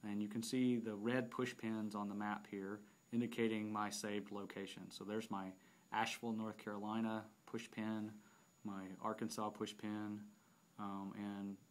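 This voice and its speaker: A man narrating an unscripted software demonstration, explaining step by step with halting phrasing and repeated words. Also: fairly low pitch, flat delivery. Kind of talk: unscripted app tutorial narration.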